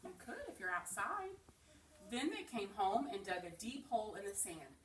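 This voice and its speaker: A woman reading a picture book aloud to young children, pausing to ask them questions and echo their answers. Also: mid-range voice, very animated, a bit bright. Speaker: preschool teacher reading aloud. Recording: roomy, distant mic, faint noise.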